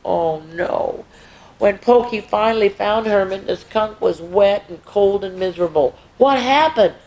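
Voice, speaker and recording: A woman hosting story time, reading aloud to young children in an expressive way with little asides. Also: mid-range voice, a little slow, low-quality recording.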